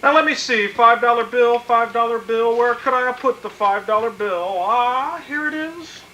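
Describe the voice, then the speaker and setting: A man performs a grandiloquent old-radio con man with a bright, forceful voice. He blusters and dodges with flowery pomp over faint noise. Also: very high-pitched, normal speed, dull audio. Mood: blustering, evasive. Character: pompous wily male swindler, flowery and evasive. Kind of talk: 1940s radio comedy acting.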